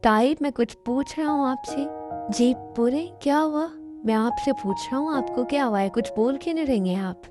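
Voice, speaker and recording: A woman's voice: high voice, normal speed, over music, natural voice. A woman voicing a stern, lecturing young husband who calls his wife to account.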